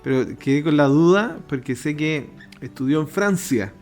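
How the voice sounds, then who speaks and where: A man's voice: on the high side, animated, normal speed, darkish tone, faint music behind. A young man hosting a youth podcast, upbeat and chatty, laughing easily with his co-hosts. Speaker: podcast host. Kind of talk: podcast interview.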